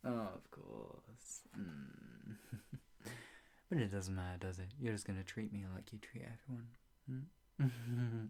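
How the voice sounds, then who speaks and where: A performer acting a smug, possessive yandere kidnapper in an improvised ASMR roleplay, teasing with chuckles, sighs and breathy whispers. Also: medium pitch, lively intonation, slow pace, pauses often, mellow, exaggerated voice. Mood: mocking, amused.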